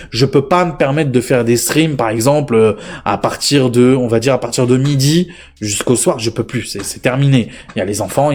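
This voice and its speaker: A young man hosts a relaxed video about tech bargains and free games. He rambles and circles back on his words with a steady, everyday pitch, chatting straight to his viewers. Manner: chatty, rambling, lots of fillers and repeats. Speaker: tech deals YouTuber. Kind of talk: casual deal roundup vlog.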